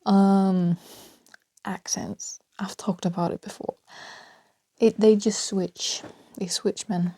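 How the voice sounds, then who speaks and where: A woman with a Danish accent rambling freely on her solo podcast, talking softly and often dropping to a half-whisper, with small laughs between thoughts. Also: mid-range voice, fairly even intonation, takes it slowly, long pauses, dry recording. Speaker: podcast host.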